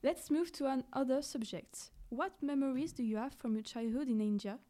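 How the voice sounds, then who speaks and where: A woman's voice: high voice, normal speed, dark tone. A woman hosting a podcast, asking her guests questions in an eager, scripted way. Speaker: podcast host.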